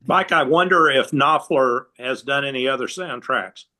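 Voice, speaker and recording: A man's voice: medium pitch, normal speed, sharp tone, low-quality recording. A man chatting casually as a member of a film discussion group.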